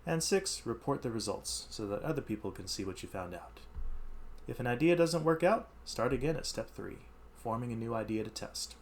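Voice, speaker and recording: A man's voice: medium pitch, lively intonation, normal speed, slight background noise. A man reading educational text aloud as a teacher, stressing key words and adding informal explanatory asides.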